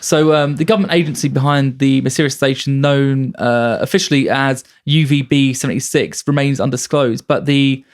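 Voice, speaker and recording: A man's voice: medium pitch, steady tone, normal speed, hardly any pauses. Casting: male podcast presenter, wry and casual, talking through a researched tale among friends. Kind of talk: casual comedic explainer chat.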